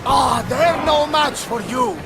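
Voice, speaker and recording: An actor playing a devout, loyal old sea captain in a video game, speaking in an encouraging, hearty way. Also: male voice, very high voice, takes it slowly, vocal fry, noisy background, exaggerated voice. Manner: warm, encouraging, pious. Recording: game audio with score behind.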